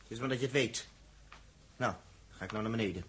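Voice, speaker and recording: An actor playing a touchy, flustered young spaceship crewman in a 1960s radio drama, excitable and prone to stammer and snap. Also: fairly low pitch, fast talker, natural voice. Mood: touchy, angry. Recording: noisy old radio drama recording.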